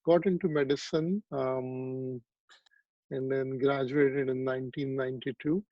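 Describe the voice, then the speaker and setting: A man's voice: medium pitch, steady tone, a little slow, no room sound, dull audio. A man, telling his story reflectively in an interview over a video call, with many ums and restarts. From Pakistan. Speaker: psychiatrist guest.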